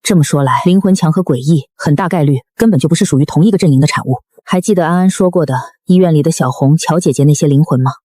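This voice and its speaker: A wise elderly woman who leads with cautious authority in an animated drama, as an actress voices her. Her voice is very low, and she reasons aloud in a measured, analytical way. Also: breathy edge, no echo, studio quality. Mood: pondering. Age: older. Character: wise, cautious old woman leading the research. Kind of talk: animated web drama dialogue.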